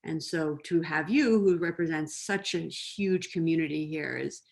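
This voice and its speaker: Woman interviewer, fairly low pitch, eager and a bit halting on a video call. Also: gravelly, slight background noise. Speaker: conference host, interviewer. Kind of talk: interview questions.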